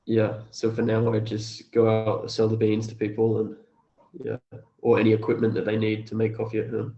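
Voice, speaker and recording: A man speaking in a casual, low-key way as an interview guest, on dull lo-fi audio. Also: monotone, fairly quick, vocal fry. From Australia. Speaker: interview guest, cafe owner. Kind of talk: casual, low-key chat and plain reading aloud.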